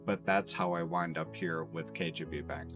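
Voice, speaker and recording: Earnest American man with low voice. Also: lively intonation, normal speed, gravelly. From Chicago, USA. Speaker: former banker, interviewee. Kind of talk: interview and walking tour. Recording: music playing under the voice.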